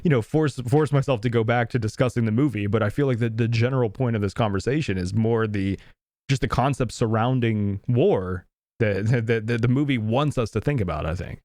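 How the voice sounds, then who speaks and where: A man's voice: medium pitch, fairly quick, a little mellow, no echo, studio quality. A man co-hosting a movie podcast, talking things through in a reflective, hedging way. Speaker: podcast co-host. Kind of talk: casual movie podcast chat.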